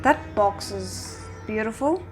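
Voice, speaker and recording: A woman's voice: mid-range voice, animated, takes it slowly, soft background music. A woman hosting a reaction video, giving casual commentary and thinking aloud.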